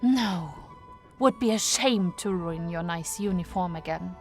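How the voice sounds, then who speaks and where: A woman's voice: on the low side, unhurried, soft background music. A woman voicing a sarcastic, unimpressed woman prisoner in an audiobook, with a dry, curt manner. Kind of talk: audiobook character acting.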